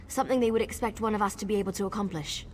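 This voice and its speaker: An actress playing a cautious, determined female wood elf scout in video game dialogue, speaking in a measured, earnest way. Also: mid-range voice, close to an everyday voice. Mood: earnest, instructive. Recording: game dialogue over clear ambient noise.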